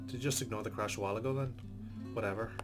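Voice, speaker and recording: A man's voice: fairly low pitch, steady tone, very fast, over music. A man reading a visual novel's narration aloud as a let's-play host, with casual commentary.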